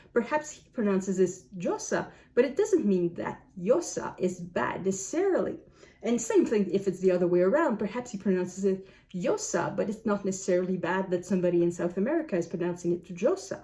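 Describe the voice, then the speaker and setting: Mellow-voiced French Canadian woman musing. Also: medium pitch. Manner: thoughtful, unhurried, musing aloud. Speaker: book vlogger. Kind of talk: casual talk to camera.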